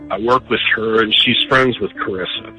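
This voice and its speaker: A man's voice: fairly low pitch, normal speed, pushed and bright, poor audio. A man calling in to a radio show, telling a personal story casually in a hesitant, self-deprecating way. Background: background music.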